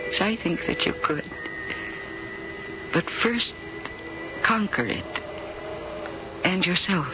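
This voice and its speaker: An actress playing a worldly, tender older woman star in 1970s radio drama, with a husky low voice and theatrical, deliberate phrasing. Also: lively intonation. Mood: tender, soothing. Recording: dull old radio broadcast with loud music.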